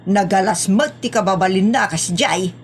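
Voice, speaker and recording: A woman playing a brisk, nagging village woman in a comedy drama, chiding and chatty with an Ilocano accent. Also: mid-range voice, slight background noise, natural voice. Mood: scolding.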